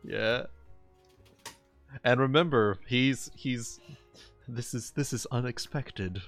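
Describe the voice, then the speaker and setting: A man's voice: mid-range voice, slow, a bit bright. A man chatting casually as a player in a tabletop roleplaying game. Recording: music playing behind the voice. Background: music.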